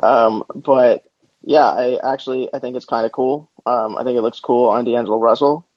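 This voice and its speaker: A man's voice: mid-range voice, fairly even intonation, moderate pace, no echo. A man chatting casually and informally as co-host of a sports podcast, giving his opinions. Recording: dull, lo-fi audio.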